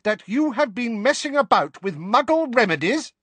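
A man voicing a stern, sharp-tongued middle-aged witch mother. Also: medium pitch, sing-song, unhurried, slightly rough, no echo, voice pushed up, exaggerated voice. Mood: scolding. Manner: scolding, rising.

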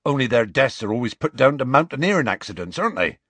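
A man acts out a gruff, bearded giant of a fellow for an audiobook. He is evasive and grumbling, with rustic grammar. The voice is rough-edged and swings widely in pitch, recorded close and dry. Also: very animated, quick pace, a bit bright, no room sound. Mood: reluctant. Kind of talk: audiobook character voice.

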